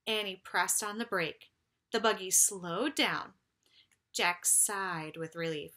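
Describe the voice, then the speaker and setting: A woman reading a children's story aloud like a teacher, with deliberate, emphatic phrasing for young listeners. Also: medium pitch, sharp tone.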